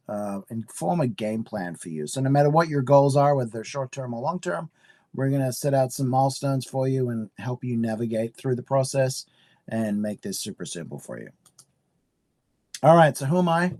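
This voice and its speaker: A middle-aged man from Australia hosting a live home-buying webinar, upbeat and chatty with frequent fillers, a little hoarse from a cold. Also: mid-range voice, mellow.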